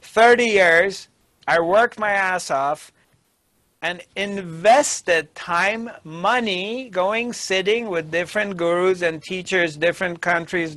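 A middle-aged man giving a spiritual discourse as a teacher, emphatic and deliberate, with pauses. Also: high-pitched, pushed and bright, no room sound. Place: small dark indoor studio. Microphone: lapel mic.

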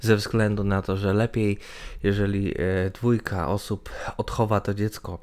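Slow, low-voiced young man rambling. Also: flat delivery, darkish tone. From Poland. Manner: hesitant, many fillers and restarts. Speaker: gaming channel host. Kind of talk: opinion monologue to camera. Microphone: webcam in corner of screen capture.

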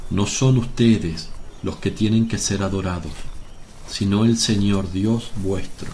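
Casting: male actor as a gentle, solemn holy teacher for devotional radio drama, low measured voice, noisy ambient track. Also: lightly acted. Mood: solemn teaching.

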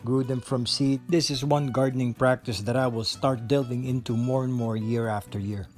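A man's voice: mid-range voice, steady tone, moderate pace. Enthusiastic man narrating a garden tour. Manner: enthusiastic, explanatory, with some fillers. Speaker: home gardener showing his garden. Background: soft guitar music.

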